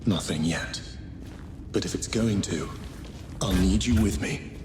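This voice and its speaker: An actor playing a weary, regretful death god in a video game, speaking in a solemn, measured way. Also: male voice, deep voice. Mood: wistful. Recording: roomy echo, noisy game ambience.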